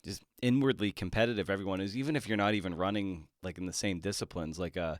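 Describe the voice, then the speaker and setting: A man's voice: low-pitched, fast talker, no echo, clean studio sound. A man hosting a conversational interview, feeling his way toward questions in a tentative, roundabout manner. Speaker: podcast host, interviewer.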